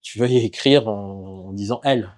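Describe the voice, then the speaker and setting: A man hosting a podcast interview, asking questions in a casual, relaxed way with many fillers. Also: fairly low pitch, moderate pace. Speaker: podcast host.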